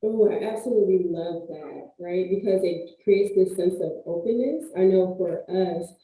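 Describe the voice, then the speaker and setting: An upbeat woman hosts a casual interview chat, leaning on emphatic phrases. Her voice is very dark and mellow and sits a little low. The room around her is echoey. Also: normal speed. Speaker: podcast host and licensed counselor.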